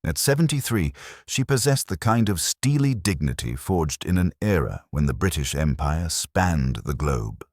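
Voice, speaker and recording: Guy narrating a mystery audiobook, super low voice that swings around a lot. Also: very animated, normal speed, hardly any pauses, darkish tone, no room sound, studio quality. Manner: formal, descriptive storytelling.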